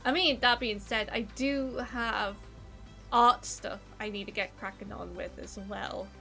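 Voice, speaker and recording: A woman's voice: medium pitch, unhurried, vocal fry, bright, forceful tone, faint music behind. A woman with a Geordie accent chatting casually while streaming a game, rambling with lots of "like" fillers and poking fun at herself. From North East England. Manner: rambling, many "like" fillers, self-deprecating. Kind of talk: casual chat while gaming, reading chat.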